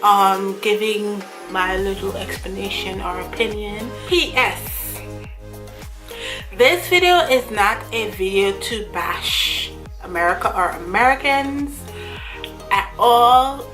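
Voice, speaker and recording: A woman's voice: medium pitch, a bit bright. A young woman from Guyana, talking earnestly and chattily to camera while reading from her notes. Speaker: vlogger. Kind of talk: casual talk to camera. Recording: music playing under voice. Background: background music.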